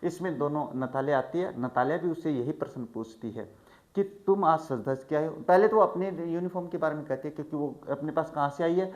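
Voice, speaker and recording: A male teacher in a chatty lesson, who reads English lines slowly and then explains each one in Hindi. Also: fairly high pitch. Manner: chatty, addresses students as "bhai". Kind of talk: line-by-line textbook explanation.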